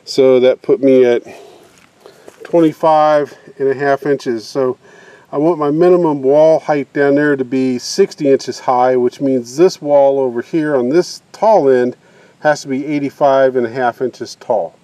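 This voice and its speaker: A man's voice: medium pitch, a little slow, warm tone, dry recording. Middle-aged man, casual DIY vlog, rambling with fillers, dry close sound, outdoors. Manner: rambling, plain-spoken, lots of uh fillers. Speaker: DIY builder explaining his project. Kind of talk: casual how-to vlog narration. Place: outdoors in a backyard garden.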